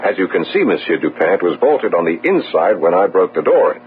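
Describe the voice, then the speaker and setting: A man's voice: sits low, very animated, fairly quick. An actor playing a big, earnest, deferential young French policeman in an old radio drama, polite and puzzled. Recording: tinny old radio broadcast, humming noise.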